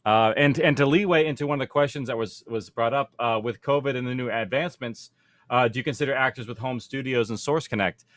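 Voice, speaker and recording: A man's voice: medium pitch, fast talker, a bit bright, no room sound. Man giving practical panel advice, dry sound. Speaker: audio drama producer, panel speaker. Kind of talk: informal panel presentation, explaining.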